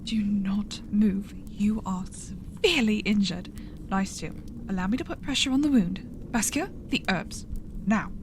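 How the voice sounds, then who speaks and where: A woman's voice: medium pitch, a little slow, noisy background, lightly acted. A woman playing a composed, exacting healer who gives formal, clipped orders in a gothic horror audio drama. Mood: urgent, firm. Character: composed, commanding female healer, firm and exacting.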